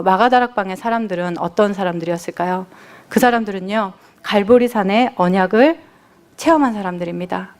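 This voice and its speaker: A woman's voice: medium pitch, a little mellow. Woman giving a church sermon, earnest and emphatic, tells her own story then presses her points home. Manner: earnest, emphatic lists with rising stress. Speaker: preacher. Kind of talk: Friday service sermon with personal testimony.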